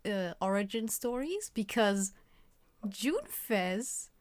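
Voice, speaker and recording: A woman co-hosting a casual podcast, tossing in quick witty quips. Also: medium pitch, big pitch swings, dry recording. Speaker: podcast co-host.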